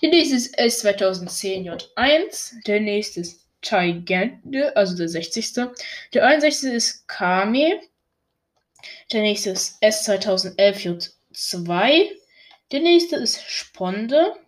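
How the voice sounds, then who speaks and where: A young woman casually reading a long list, laughing at her own pronunciation. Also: mid-range voice. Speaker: video host listing moons.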